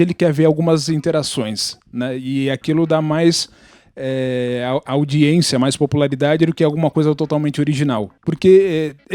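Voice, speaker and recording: Higher-pitched Brazilian man, soft dark tone. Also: no room sound. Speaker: podcast participant. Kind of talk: casual explanatory podcast talk.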